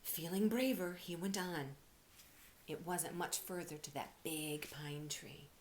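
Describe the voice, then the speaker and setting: A woman reading aloud to children like a teacher, expressive, often dropping into breathy, hushed storytelling. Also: sits low, slow pace. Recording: faint background noise.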